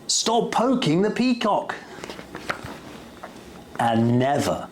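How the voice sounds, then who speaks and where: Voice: mid-range voice, big pitch swings, very slow, darkish tone, roomy sound, slight background noise. A storyteller voicing an exasperated, bossy female schoolteacher who scolds in singsong commands in a children's storybook. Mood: scolding, exasperated.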